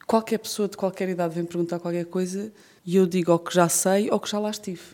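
A woman's voice: lowish voice, fairly even intonation, fairly quick, warm tone. A woman, a guest writer talking reflectively and playfully, often laughing, on a stage before an audience. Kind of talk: reflective conversation.

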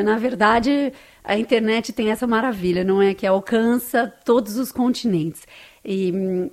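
A woman's voice: medium pitch, a little mellow. A woman with a Brazilian Portuguese accent, a guest answering interview questions in an earnest, enthusiastic way that is often tagged with little questions.

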